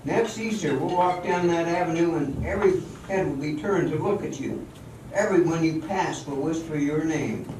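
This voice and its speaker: A man's voice: a little high, moderate pace, noisy background, lightly acted. Man acting a commanding showman dancer, echoey hall. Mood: confident, persuasive. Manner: commanding, instructive. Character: male professional dancer, exacting, impatient, cocksure showman. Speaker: actor in an amateur radio drama. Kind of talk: theatrical amateur radio drama acting. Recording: echoey hall, distant mic, music behind.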